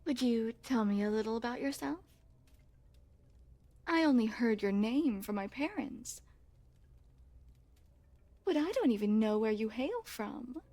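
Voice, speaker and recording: Woman acting a soft-toned, unhurried regal young princess. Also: fairly high pitch, animated. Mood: sweet, curious. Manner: formal, courtly, coy phrasing. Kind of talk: intimate ASMR roleplay acting.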